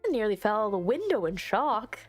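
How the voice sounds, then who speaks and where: A woman doing let's play commentary on a visual novel, reading it aloud and chatting with expressive, playful asides. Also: mid-range voice, a little fast. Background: soft music.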